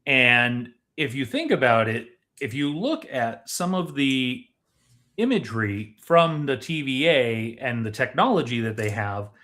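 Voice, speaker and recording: A man's voice: mid-range voice, a bit bright. Man explaining film theories in casual conversation. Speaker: co-host of a movie discussion show.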